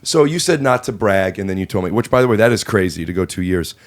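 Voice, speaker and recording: Male podcast host, lowish voice with a slight post-cold rasp, casual, playful, teasing comic banter. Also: expressive, fast talker. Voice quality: slightly raspy. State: voice a little rough after illness. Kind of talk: casual comedic chat.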